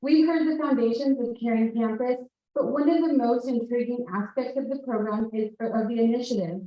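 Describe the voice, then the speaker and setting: A woman's voice: fairly high pitch, brisk. A woman who chairs a committee, giving a formal, welcoming speech to an audience. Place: large hall with an audience. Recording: echoey hall, distant mic, dull sound.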